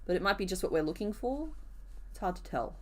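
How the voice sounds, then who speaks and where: Woman host, crafting live stream, voice a bit hoarse today, chatty and easygoing with her chat. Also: mid-range voice, lively intonation, quick pace, a little mellow, a little hiss or hum. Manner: chatty, answers viewers' comments as she works. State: rough, hoarse voice. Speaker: crochet streamer. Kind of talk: casual live-stream chat while crocheting.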